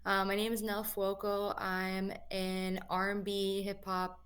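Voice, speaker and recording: A young woman from New England, a guest musician answering interview questions in a relaxed, chatty way with many fillers, over a video call. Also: medium pitch, a little slow. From New England, USA.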